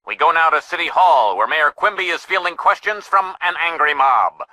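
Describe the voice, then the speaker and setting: An actor voicing a slick, self-important TV newsreader in a cartoon game, with a punchy American announcer delivery. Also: male voice, fairly high pitch, lively intonation, normal speed, sharp tone, no echo, rough recording. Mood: brisk, grave. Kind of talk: parody news bulletin.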